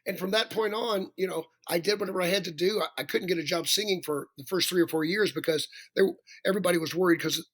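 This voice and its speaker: Raspy guy talking high and bright, rambly, keeps restarting. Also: quick pace. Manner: rambling, self-deprecating, many restarts. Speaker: guest singer. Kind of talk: casual interview chat.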